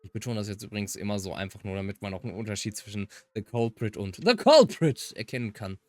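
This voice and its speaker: A man's voice: on the low side, animated, quick pace, dry recording. A German man giving casual commentary on a game he is playing and reading aloud. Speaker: let's player.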